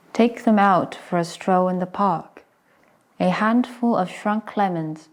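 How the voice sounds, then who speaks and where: Slow-paced woman reciting poetry. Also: on the low side, darkish tone. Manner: measured, fragmentary phrasing with deliberate pauses.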